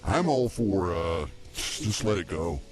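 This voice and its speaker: A man playing an easygoing, slightly slow comedy podcast host, plain-spoken and hesitant in improvised banter. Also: sits low, sing-song, music in the background, heavily acted. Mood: conciliatory.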